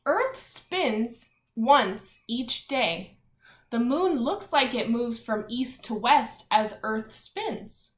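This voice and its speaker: A woman's voice: fairly high pitch, lively intonation, drawn-out words. A woman teacher reading aloud to young children, explaining things simply and thinking aloud as she goes. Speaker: primary school teacher. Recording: echoey room.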